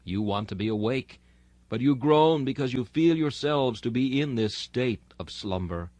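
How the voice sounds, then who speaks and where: A man reading a sermon aloud in a solemn, earnest, deliberate oratorical manner. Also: mid-range voice, lively intonation, a little mellow, dry recording. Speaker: reader of a historical sermon.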